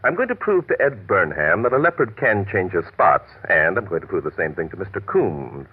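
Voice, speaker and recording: An actor playing a genial, quick-witted amateur detective, urbane and teasing, in 1940s radio drama style. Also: male voice, low voice, sing-song, slightly rough, exaggerated voice. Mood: wry, patient. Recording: muffled old radio recording.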